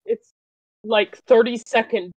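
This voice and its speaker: A woman's voice: on the high side, fairly even intonation, takes it slowly, a little mellow, no echo. A woman hosting a live stream in casual chat, with a teasing, mock-scolding way of talking. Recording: dull, lo-fi microphone.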